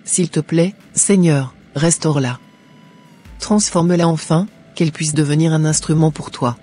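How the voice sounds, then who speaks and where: Guy doing a earnest churchy daughter, soft dark husky voice, cartoon dialogue, some faint music. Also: fairly high pitch, fairly even intonation, normal speed. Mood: pleading prayer. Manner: earnest, prayerful. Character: devout, worried daughter of a sick mother.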